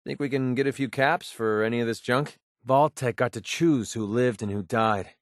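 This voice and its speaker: An actor playing a young, cynical but loyal mercenary sniper in a video game, with an American accent and a sardonic, informal delivery. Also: male voice, medium pitch, moderate pace, no echo, studio quality, lightly acted. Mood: wry, businesslike. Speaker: video game companion character. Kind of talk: scripted game companion dialogue.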